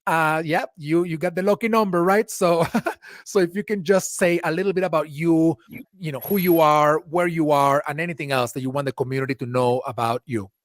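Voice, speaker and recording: A man from Venezuela hosts an online panel in a relaxed, chatty way and laughs easily. He is heard through a video call mic. The sound is dry, with no room around it. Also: fairly high pitch, a little fast, no room sound. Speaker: panel moderator. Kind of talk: casual webinar hosting.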